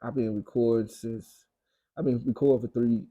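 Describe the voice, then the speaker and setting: Young male rapper as interview guest. Southern US accent, mellow tone, dry close sound, slangy and repetitive for emphasis. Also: medium pitch, fairly even intonation, moderate pace, dry recording, lo-fi sound. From Arkansas, United States. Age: young adult. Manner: slangy, repeats phrases for emphasis. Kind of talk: casual interview talk.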